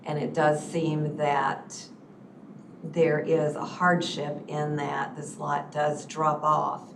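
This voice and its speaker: A woman on county planning staff, explaining permits and site limits at a public zoning hearing in a measured way. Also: low-pitched, monotone, creaky. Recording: echoey room, distant mic, noisy.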